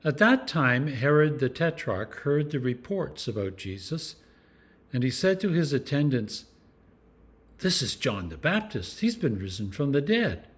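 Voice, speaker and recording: Man reading scripture with lively intonation. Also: medium pitch, measured pace. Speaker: clergyman reading scripture for a church meditation series.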